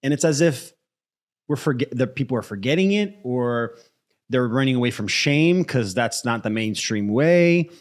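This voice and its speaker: Guy from brazil raised in the us, podcast host, mid pitch, chatty and kinda halting. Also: lively intonation. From born in Brazil, grew up in the United States from age eight. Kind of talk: casual conversational interviewing.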